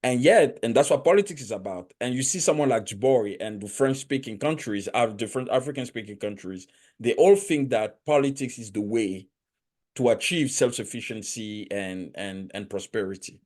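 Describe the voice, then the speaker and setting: A man of ordinary pitch hosting a podcast, speaking English as a learned language. He argues with earnest conviction, building each point step by step and often stumbling back over his words as he goes. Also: lively intonation, normal speed, darkish tone. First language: not English. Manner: frequent restarts and repeated words. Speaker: podcast host. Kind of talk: casual interview chat.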